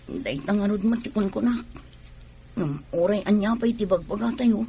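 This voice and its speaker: A woman playing a distraught, pregnant young wife in a radio drama, speaking slowly and plaintively. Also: medium pitch, animated, creaky, mellow, slight background noise. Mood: worried, sorrowful. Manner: slow, plaintive delivery. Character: distraught pregnant young wife grieving over injured husband.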